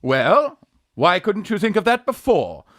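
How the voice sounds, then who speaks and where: A man's voice: high voice, very animated, a little slow, fairly bright tone, dry recording, studio quality, exaggerated voice. An actor playing a young man posing as a genteel aunt in a Victorian farce, flustered, coy and haughty. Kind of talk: Victorian farce radio acting.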